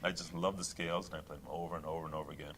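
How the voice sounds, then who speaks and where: A middle-aged male opera singer talking in a relaxed interview, thoughtful, with many fillers and restarts. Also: a bass voice, racing, slight background noise. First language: English. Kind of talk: casual interview talk.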